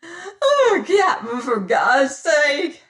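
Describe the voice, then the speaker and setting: A reader voicing a fat, cruel male pirate boatswain with a high-pitched, squealing voice, wailing and pleading. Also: expressive, takes it slowly, a bit bright. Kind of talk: audiobook character voice.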